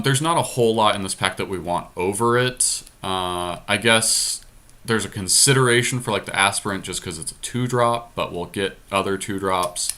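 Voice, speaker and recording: Low, bright-voiced man talking card strategy. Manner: analytical, explanatory. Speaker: podcast host and card game drafter. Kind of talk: casual draft commentary, thinking aloud. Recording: faint noise, amateur screen-capture recording.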